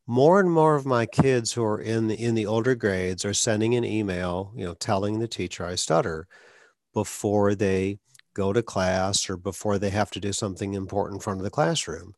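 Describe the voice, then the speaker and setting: A man's voice: lowish voice, moderate pace, darkish tone, no echo, clean studio sound. A man hosting an interview, a speech clinician who speaks earnestly and advocates, with occasional stuttered blocks. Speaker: podcast host, speech clinician. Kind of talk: conversational interview.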